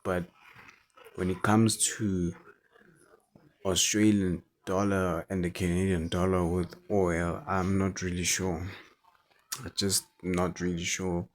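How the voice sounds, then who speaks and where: A man who is not a native English speaker, talking through forex charts in a halting way and repeating words as he goes. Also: deep voice, level tone. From South Africa. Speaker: forex trader. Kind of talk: chart analysis commentary.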